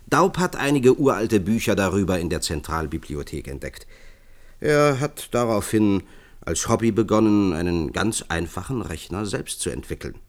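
An earnest expert in a science-fiction radio play. His voice is very low, and he speaks formally, lecturing and persuading as if laying out a grand project. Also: expressive, moderate pace. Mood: explaining. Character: earnest male science expert pitching a visionary project to leaders. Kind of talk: German science-fiction radio drama acting.

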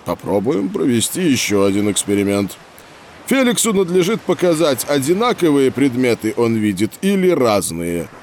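Man as a patient, animated researcher. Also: mid-range voice, normal speed, a little hiss or hum, lightly acted. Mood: explanatory. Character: patient male dolphin researcher explaining experiments to children. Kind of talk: scripted children's educational audio drama.

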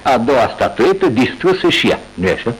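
An earnest, husky-voiced doctor. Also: male voice, mid-range voice, sing-song, rough recording. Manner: earnest, inquisitive. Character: Doctor Watson, earnest male doctor companion. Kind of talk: 1980s radio drama acting. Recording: old radio studio recording.

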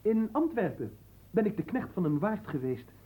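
A man's voice: sits high, big pitch swings, normal speed, warm tone. A man acting in a radio drama as a humble, earnest young manservant, speaking formally and politely with some hesitance. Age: young adult.

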